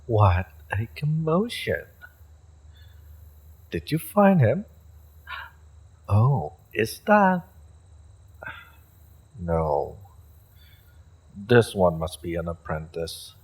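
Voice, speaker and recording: A man's voice: on the low side, very animated, drawn-out words, pauses often, darkish tone, slightly rough, heavily acted. A man playing a haughty evil sorcerer in a fantasy roleplay audio drama, theatrical, condescending and musing. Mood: impatient, dryly amused. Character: haughty evil male sorcerer leading a raid.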